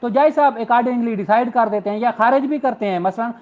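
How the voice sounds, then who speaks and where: Male law teacher, Pakistani accent, strikingly high voice, explanatory and deliberate, muffled low-fidelity recording. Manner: didactic, rhetorical questions, slow deliberate reading. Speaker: law lecturer. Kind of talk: informal lecture mixing explanation with slow read-out of statutes.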